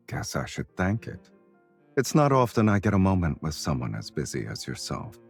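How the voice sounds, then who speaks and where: An actor playing a smooth, teasing, worldly collector of weapons and antiques in a video game, with a drawling, unhurried delivery. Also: male voice, low-pitched, huge pitch swings, faint music behind, exaggerated voice. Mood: amused, teasing. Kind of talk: acted video game dialogue.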